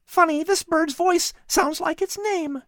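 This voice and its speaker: A woman's voice: very high-pitched, a little slow, a bit bright, no room sound, studio quality, pitched above the performer's own voice. A woman voicing a cheerful, playful seven-year-old boy in a storybook. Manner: eager and playful. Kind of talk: storybook reading.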